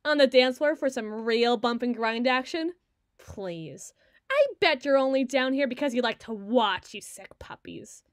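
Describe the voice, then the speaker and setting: A woman acting a sardonic, fast-thinking, bossy secret agent in an ASMR roleplay audio drama, wordy and wry. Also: a little high, expressive, no room sound, studio quality. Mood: brash, mock outraged. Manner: wry, wordy, teasing, flustered when challenged.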